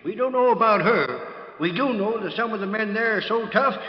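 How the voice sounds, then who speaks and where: An actor playing a grumbling, wary cowhand sidekick with rustic speech in a classic radio Western. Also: male voice, high-pitched, fairly quick, lightly acted. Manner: aggrieved, rustic. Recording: echoey, dull old radio recording.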